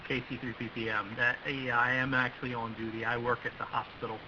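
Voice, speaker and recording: A man's voice: mid-range voice, little pitch movement, brisk, dull audio. American man on a hissy radio link. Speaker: amateur radio operator. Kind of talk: casual ham radio chat. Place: radio voice link, heard from the far end.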